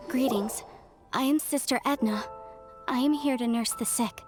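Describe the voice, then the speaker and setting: A woman playing a gentle nursing sister in a video game, polite and plain-spoken. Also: fairly high pitch, normal speed, close to an everyday voice. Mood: earnest. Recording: music playing behind the voice.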